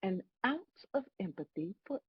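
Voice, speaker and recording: An older woman playing a folksy, unflappable female God in a comedy table read, husky, wry and matter-of-fact. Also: medium pitch, lively intonation, moderate pace, no echo, narrow, tinny audio. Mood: disappointed, patient. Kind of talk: live table read acting.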